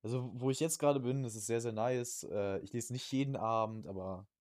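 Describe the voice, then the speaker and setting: A man's voice: medium pitch, brisk, darkish tone, no echo, clean studio sound. A young man chatting casually as a podcast co-host, rambling in slang and often repeating his words. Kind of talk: casual chat.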